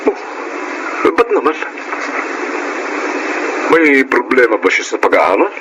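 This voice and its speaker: A middle-aged Filipino father in a radio drama, concerned and ready to defend his child. His intonation is animated, and the audio is noisy. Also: medium pitch, slow pace.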